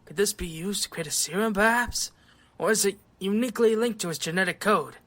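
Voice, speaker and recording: A woman playing an excitable, absorbed scientist doctor in an audio drama, precise and given to muttering. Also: mid-range voice, fairly quick, close to an everyday voice. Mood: fascinated.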